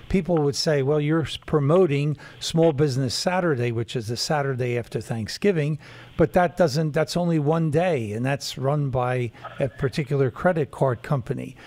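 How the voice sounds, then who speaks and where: A man's voice: fairly high pitch, darkish tone. A man hosting a talk broadcast, earnestly promoting an initiative with many fillers. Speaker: radio talk show host.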